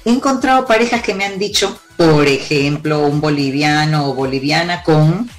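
Low-voiced emphatic woman. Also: normal speed, soft background music. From born in Cuba, raised in Peru. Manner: emphatic, lively storyteller with sweeping declarations. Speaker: relationship advice guest. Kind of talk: confident advice-giving interview talk.

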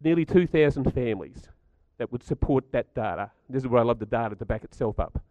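Croaky man giving a talk. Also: mid-range voice, expressive, fairly quick, dark tone, no room sound, low-quality recording. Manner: chatty, stats-driven, asks the audience questions. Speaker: speaker at a community gathering. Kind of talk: talk to a live audience.

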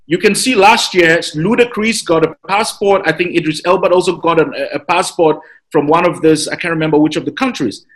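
A man's voice: fairly high pitch, fairly quick, fairly bright tone. A man with a Nigerian accent, a citizenship consultant explaining things patiently in an interview, with many fillers and repeated words. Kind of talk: interview answer.

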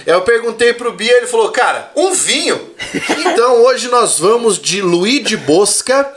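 A man's voice: very high voice, sing-song, measured pace, a crowd in the background. A man hosting a podcast in a friendly way, reading out viewers' greetings and chatting with his guest. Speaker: podcast host. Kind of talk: casual chat.

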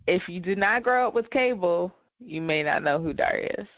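A woman podcast co-host chats casually in a lowish voice with plenty of fry. Her delivery is dry. The recording is tight and free of room sound, a bit dull. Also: animated, normal speed, no echo. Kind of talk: casual chat.

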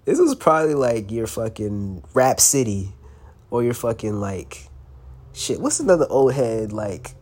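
A man's voice: mid-range voice, sing-song, unhurried, darkish tone. A young man with a cold hosts a casual solo podcast, sounding stuffy and congested, and talks in a loose, slangy way with plenty of swearing. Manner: loose and slangy, swearing, laughing now and then. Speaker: podcast host.

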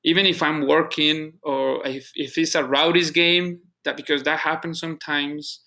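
A man from Colombia with a Colombian Spanish accent, a native Spanish speaker, answering as an interview guest in earnest, halting speech that repeats words. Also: fairly high pitch, little pitch movement, sharp tone. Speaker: guest, soccer club operations manager. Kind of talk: casual interview conversation.

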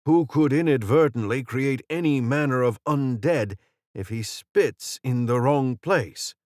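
A man's voice: mid-range voice, unhurried, a little mellow, no room sound, studio quality, voice pushed up, close to an everyday voice. A man voicing an aging British council leader, articulate, formal and wry, in a fantasy audiobook. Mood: grave, concerned.

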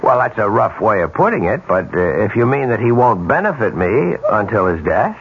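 An actor playing an honest, plainspoken family man in his forties in a 1970s radio drama, halting and incredulous. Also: on the low side, very animated. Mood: reluctant, candid. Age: 40s. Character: honest, hesitant 43-year-old family man, morally indignant. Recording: dull, noisy 1970s radio broadcast.

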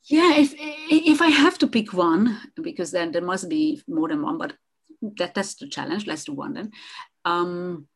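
Gravelly-voiced woman, animated. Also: fairly low pitch, unhurried. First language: Hungarian. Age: middle-aged. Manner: animated, with frequent restarts and repeated words. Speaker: guest, marketing consultant. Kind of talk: conversational podcast interview.

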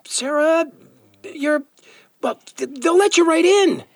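A man's voice: very high voice, lively intonation, slow pace, creaky, no echo, full character voice. A man playing an eager, flippant younger police detective in an audio drama, casual and awkwardly teasing. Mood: flustered teasing.

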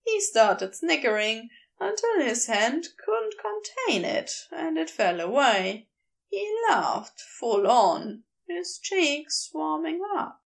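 Fiction narrator: woman, unhurried, with vocal fry, reading a scripted story expressively. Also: sits high, sing-song. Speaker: podfic narrator.

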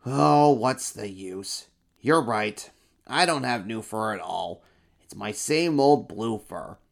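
A man voicing a vain, attention-hungry monster emperor in a storybook reading, with a drawn-out, showy delivery. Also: mid-range voice, big pitch swings, gravelly, full character voice. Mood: sheepish. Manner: boastful, showy, then sheepish.

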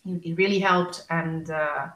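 A woman's voice: low voice, steady tone, a little slow, a bit bright. A woman in her thirties, a speech therapist who stammers, talking openly and emotionally on a podcast. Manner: open, emotional, stammers at times. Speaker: guest, speech and language therapist. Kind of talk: podcast conversation. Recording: echoey room.

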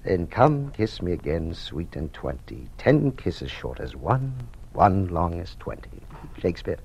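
Deep, gravelly, bookish old father. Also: big pitch swings, measured pace, a little mellow, slight background noise, full character voice. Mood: playful, affectionate. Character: aging, bookish father, proud and regretful. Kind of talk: old-time radio drama acting. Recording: muffled old radio recording.

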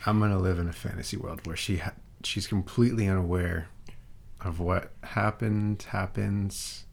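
A young man co-hosting a podcast, talking casually in a flat, monotone way. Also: low-pitched, normal speed, vocal fry. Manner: monotone, dry. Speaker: podcast co-host. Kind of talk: casual chat.

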